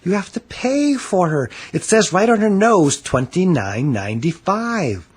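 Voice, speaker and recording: A man's voice: sits high, very animated, unhurried, husky, pitched above the performer's own voice, heavily acted. A man playing an insistent, officious male supermarket cashier, arguing emphatically in a children's story. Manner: argumentative, emphatic.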